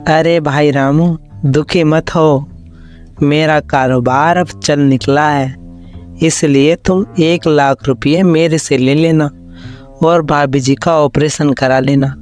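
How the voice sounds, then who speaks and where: A man's voice: a little high, fairly even intonation, slow pace, a little mellow. A man voicing a humble, polite village neighbour in a moral story cartoon, with deferential phrasing. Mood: consoling. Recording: music under the voice.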